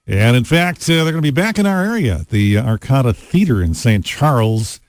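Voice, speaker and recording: A man hosting a rock radio show, talking in a relaxed, chatty way with frequent fillers between songs. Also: medium pitch, brisk, no room sound, studio quality. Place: radio studio. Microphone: studio microphone.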